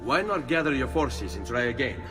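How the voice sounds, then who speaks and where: Cocky, animated young Italian nobleman acted by a man. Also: medium pitch, moderate pace, music in the background, close to an everyday voice. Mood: determined. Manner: suave, wry, playful. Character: cocky, charming young Italian nobleman assassin, playful and eager. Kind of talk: video game voice acting.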